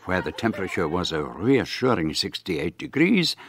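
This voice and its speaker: A man's voice: sits low, very animated, normal speed. A man playing a fussy, inquisitive foreign detective, formal and ornate in speech, narrating in a radio play. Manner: formal, ornate phrasing with hesitant fillers. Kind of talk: radio drama acting.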